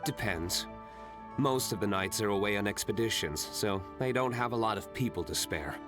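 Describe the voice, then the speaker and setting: An actor playing a cool, self-assured young nobleman vigilante in an anime-style video game, composed, measured and reserved. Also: on the low side, natural voice. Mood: serious, matter-of-fact. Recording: background music under the voice.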